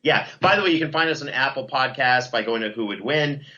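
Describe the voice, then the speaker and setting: A man's voice: mid-range voice, brisk, bright, forceful tone, dull audio. A man chatting casually as an interview guest, enthusiastic and effusive about what he talks about. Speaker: podcast producer and guest.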